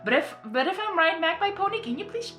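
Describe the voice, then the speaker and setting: A woman hosting a casual reaction video, excitable, apologetic and prone to rambling and repeating herself. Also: very high-pitched, fast. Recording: roomy echo, music behind.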